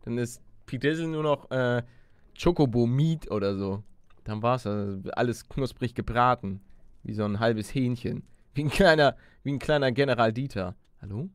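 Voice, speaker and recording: A man's voice: mid-range voice, a little slow, clear, resonant tone, no echo. Man doing casual gaming commentary. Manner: youth slang mixed with English gaming words. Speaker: gaming video host. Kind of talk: casual Let's Play commentary, thinking aloud.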